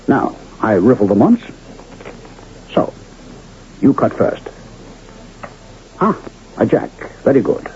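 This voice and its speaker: An actor playing a smooth, cryptic travelling medicine man and charlatan illusionist in a radio drama, speaking in a courtly, theatrical manner. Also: mid-range voice, a little mellow, exaggerated voice. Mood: confident showman. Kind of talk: 1980 radio drama acting. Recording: dull, noisy vintage radio broadcast.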